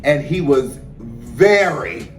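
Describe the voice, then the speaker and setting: A man's voice: mid-range voice, very slow. A man from Baltimore with a Baltimore accent, co-hosting a podcast in casual, chatty talk that keeps correcting itself. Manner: animated, chatty, self-correcting. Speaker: podcast co-host. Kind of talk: casual chat. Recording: echoey room, distant mic, faint music.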